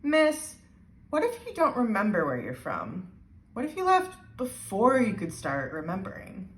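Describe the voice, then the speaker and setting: A woman's voice: mid-range voice, huge pitch swings, unhurried. A woman voicing an earnest, curious young schoolgirl in a children's storybook reading, with eager, wondering questions. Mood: earnest, worried. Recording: roomy, echoey sound.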